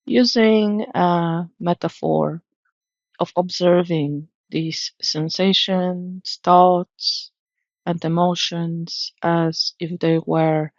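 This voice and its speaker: Woman, meditation guide, soothing and gentle, very slow delivery, gravelly fry, dull muffled audio. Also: lowish voice, no room sound. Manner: soothing, gentle, measured instruction.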